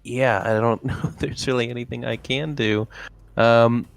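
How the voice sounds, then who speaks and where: A man's voice: mid-range voice, steady tone, normal speed, creaky, soft background music. A man playing a tabletop game, talking casually and hesitantly as he thinks aloud.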